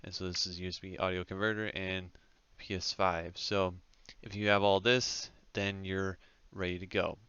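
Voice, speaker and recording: A man's voice: low-pitched, little pitch movement, normal speed, bright, forceful tone, clear, resonant tone, no room sound, muffled recording. A man hosting a casual step-by-step tech tutorial as a voice-over, speaking informally with frequent hesitations. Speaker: tutorial host.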